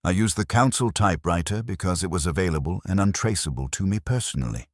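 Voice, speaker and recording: A man plays an embarrassed, self-justifying council official in a mystery audiobook. He speaks very low in stiff, bureaucratic phrases, with lively movement in the pitch and a dry sound. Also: quick pace, no echo. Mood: defensive, formal. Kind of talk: audiobook mystery character dialogue.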